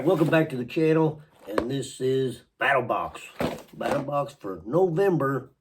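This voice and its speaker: A hoarse man with a Southern US accent, fighting a cold and talking slowly as he unboxes gear for his channel. His speech is halting, broken by throat clearing, with a faint hiss of noise behind him. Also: mid-range voice. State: sick with a cold, voice partly lost. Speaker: channel host. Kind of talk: casual unboxing vlog.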